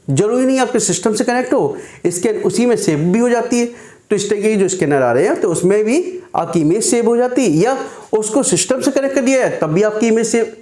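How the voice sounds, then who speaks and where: A man teaching a computer class who reads out English definitions slowly and then explains them in Hindi. He keeps asking his students questions and answers them himself, and his throat is dry and hoarse. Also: high-pitched, darkish tone, roomy sound. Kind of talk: classroom lecture for exam students.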